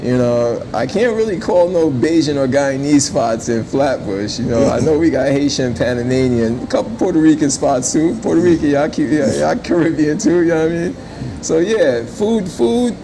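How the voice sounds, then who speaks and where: A man's voice: a little high, lively intonation, moderate pace, background noise. A man, talking casually and emphatically in slang as a restaurant owner being interviewed. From born in Jamaica, raised in Brooklyn from age five.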